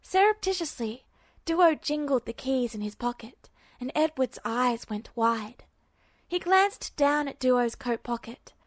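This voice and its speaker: A woman narrates fiction from a script in the manner of a home-made audiobook. Her voice is high. The recording is dry, with hardly any room sound. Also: animated, measured pace, no room sound.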